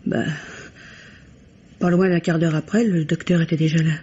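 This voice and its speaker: An actress playing an anxious, deferential housemaid giving testimony in a radio mystery, hesitant and earnest. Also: female voice, deep voice, steady tone, moderate pace, warm tone, breathy edge. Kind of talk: French radio mystery drama acting. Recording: dull, noisy old radio recording.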